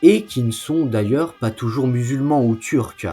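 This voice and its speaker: A man narrates history in a slow, unhurried delivery with a husky, rough-edged voice. He explains in a semi-scripted style and slips in informal asides. Faint music plays behind him. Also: medium pitch, warm tone.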